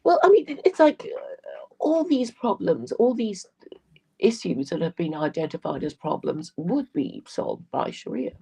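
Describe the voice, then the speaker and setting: Gravelly, husky woman. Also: lowish voice, sing-song, unhurried, mellow. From England. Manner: hesitant, repeats words. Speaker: guest. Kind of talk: live online discussion.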